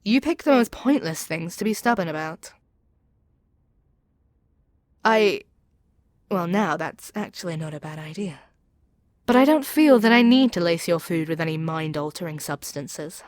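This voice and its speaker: A woman plays a demon queen with a somewhat low voice, very dry and free of room sound. She is proud and seductive, addressing her captive with theatrical command and persuasion. The delivery suits an intimate ASMR audio drama. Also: animated, moderate pace, no echo, close to an everyday voice. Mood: commanding, taunting. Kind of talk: ASMR audio roleplay acting.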